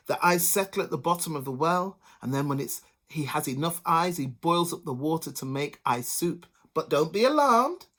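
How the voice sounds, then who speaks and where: A woman doing a calm, knowing male traveller. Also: low voice. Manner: measured, storytelling. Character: wise, calm travelling stranger, a man.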